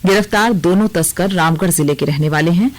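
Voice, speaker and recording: A woman with an Indian accent reads a scripted radio news bulletin in a formal, measured way. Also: fairly low pitch. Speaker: radio newsreader.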